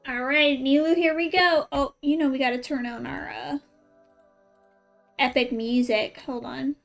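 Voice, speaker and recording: High-pitched woman livestreaming a game. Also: steady tone, a little slow, vocal fry, soft background music. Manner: informal, thinking aloud, asking viewers questions. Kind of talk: casual livestream chat with viewers while playing.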